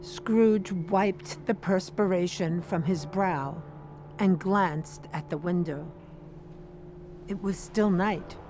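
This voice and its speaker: A woman's voice: fairly low pitch, slow pace, dark tone, soft background music. A woman giving scripted storybook narration for an audio drama.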